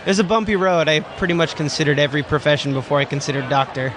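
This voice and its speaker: A young man from Southern California answering interview questions in a relaxed, hesitant way. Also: a little high, very fast, noisy background.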